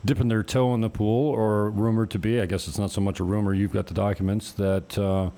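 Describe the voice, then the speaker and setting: A man's voice: on the low side, little pitch movement, brisk, warm tone. A man from Canada hosting a casual interview, asking long, rambling, chatty questions. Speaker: podcast host.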